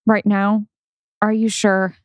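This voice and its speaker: Woman playing a worried wife, clear smooth voice. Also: medium pitch, flat delivery, takes it slowly, no room sound, close to an everyday voice. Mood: worried, reassuring. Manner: reassuring, gently insistent. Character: caring, worried wife and mother, gently firm. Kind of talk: dramatic audio script reading.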